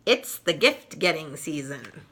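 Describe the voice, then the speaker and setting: A woman's voice: low-pitched, sing-song, a little slow, a bit bright, slightly rough, voice pushed down, full character voice. A woman voicing a pushy, crabby big-sister girl in a children's story, insistent and emphatic.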